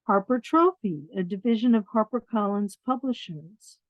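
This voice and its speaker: A woman reading picture books aloud to young children, expressive, pausing to explain things in simple words. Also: mid-range voice. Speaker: children's storyteller. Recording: dull, roomy lo-fi sound.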